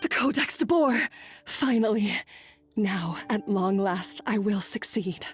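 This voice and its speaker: An actress playing a haughty, obsessive rival head chef in a video game, with a cutting, theatrical manner. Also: female voice, medium pitch, slow pace, soft background music, close to an everyday voice. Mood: gloating.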